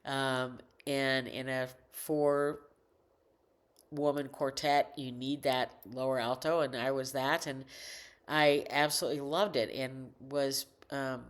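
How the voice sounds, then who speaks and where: An older woman with a deep, low alto voice rambles into a desk microphone at home. She keeps stopping, restarting and correcting herself as she chats in a loose personal monologue. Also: fairly even intonation. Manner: rambling, halting, many restarts and self-corrections. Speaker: vlogger.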